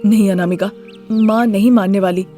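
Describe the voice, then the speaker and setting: An affectionate young husband from a moral story, voiced by a woman at a medium pitch. He is earnest and pleading, with faint music behind. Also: fairly even intonation, moderate pace, dark tone, lightly acted. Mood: earnest, protesting. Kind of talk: Hindi moral story character voice.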